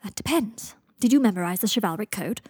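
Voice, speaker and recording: Woman as a haughty young man, theatrical, easily flustered, raised lively pitch, soft husky edge, audiobook dialogue. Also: brisk, no echo, studio quality.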